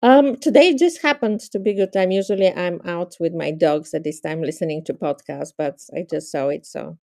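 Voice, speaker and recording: Upbeat woman, warm low voice. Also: moderate pace, creaky, dry recording, studio quality. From Bulgaria. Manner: upbeat, many fillers. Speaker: coach and film producer. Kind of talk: call introduction. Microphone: video call.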